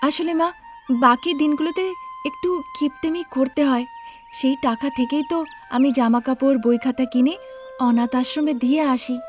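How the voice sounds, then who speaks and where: A woman's voice: high-pitched, moderate pace, natural voice. A woman voicing a stingy, scolding young daughter-in-law who sells sweets in a cartoon story, speaking emphatically. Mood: explaining. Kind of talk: cartoon story character acting. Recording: music behind the voice.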